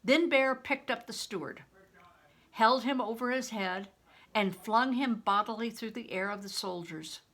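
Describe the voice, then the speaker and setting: An older woman reading a children's novel aloud as a storyteller, in expressive, deliberate audiobook narration. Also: on the high side.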